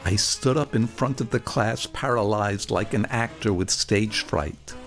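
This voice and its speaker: A man's voice: on the low side, a little slow, faint music behind. A man narrating a scripted first-person memoir like a radio storyteller, theatrically, drawing out words for emphasis. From Brooklyn, New York, United States.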